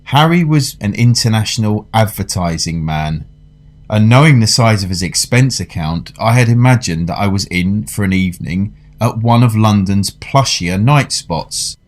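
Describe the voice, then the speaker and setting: Male actor, urbane gentleman narrator, lowish voice, wry, measured, radio drama storytelling. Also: animated, lightly acted. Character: urbane male food lover recounting a sinister tale. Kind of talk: dramatised first-person storytelling.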